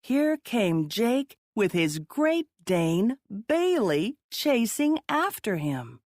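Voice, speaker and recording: Very slow, lively woman reading a storybook. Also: a little high, no echo, studio quality. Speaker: children's storybook reader. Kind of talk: read-aloud children's story narration.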